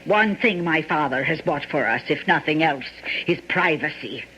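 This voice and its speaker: An actress playing a bitter, jealous, hard-drinking wife in a radio mystery, with a dramatic, accusing manner. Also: female voice, low-pitched, animated, moderate pace, bright, forceful tone, a little hiss or hum, poor audio. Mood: scornful, threatening. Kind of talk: old-time radio mystery acting.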